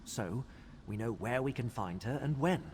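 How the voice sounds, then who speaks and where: An actor playing a composed curate who tends the dead in a video game, explaining things in a measured way. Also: male voice, medium pitch, expressive, darkish tone, slight background noise, natural voice. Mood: matter-of-fact. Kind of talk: video game character acting.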